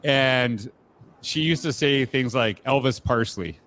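A man's voice: medium pitch, takes it slowly, fairly bright tone. A man hosting a podcast interview, talking in a reflective, conversational way with frequent fillers and restarts. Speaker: podcast host. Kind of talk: casual interview chat.

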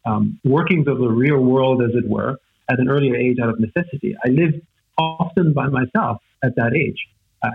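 Man from austria, german native, gravelly, husky, hesitant with fillers, tinny phone-like line. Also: medium pitch. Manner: hesitant, many fillers and "right?" tags. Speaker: guest. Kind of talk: reflective conversation.

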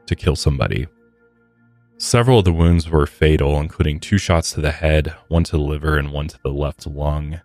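Very deep-voiced male true crime podcast host. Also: darkish tone, no echo. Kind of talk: conversational true crime storytelling.

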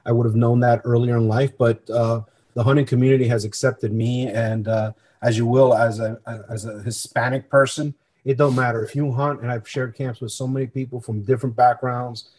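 A man's voice: medium pitch, steady tone, moderate pace, darkish tone. A middle-aged man from Colombia who grew up in New Jersey, talking earnestly and conversationally as an interview guest about himself. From born in Colombia, grew up in New Jersey. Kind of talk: conversational personal storytelling.

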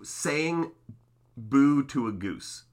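A young man from Oakland with a Californian accent, co-hosting a podcast in a relaxed, teasing way with lots of ums. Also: medium pitch, drawn-out words. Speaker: podcast co-host. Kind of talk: casual chat.

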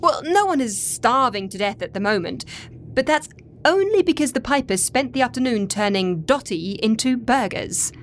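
A woman's voice: a little high, lively intonation, normal speed, slight background noise, close to an everyday voice. A woman playing an earnest, tactful and practical team member in a comedy audio drama. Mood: worried, practical.

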